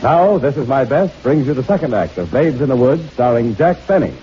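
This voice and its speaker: A man with a medium-pitched voice announces in formal 1940s radio style. His scripted delivery is polished. Music plays behind him on a muffled vintage recording. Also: animated, a little fast.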